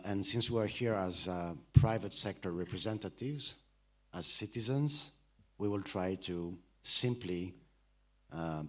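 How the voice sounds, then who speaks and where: A French man moderating a festival panel, hesitant and full of fillers, speaking into a stage mic. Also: sits low. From France. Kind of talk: panel discussion.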